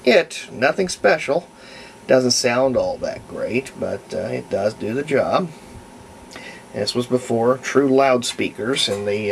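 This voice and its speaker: Folksy guy rambling thru a diy repair, medium pitch, noisy home recording, kinda thinking out loud. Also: vocal fry. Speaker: hobbyist restorer presenting a repair. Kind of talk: casual unscripted how-to narration.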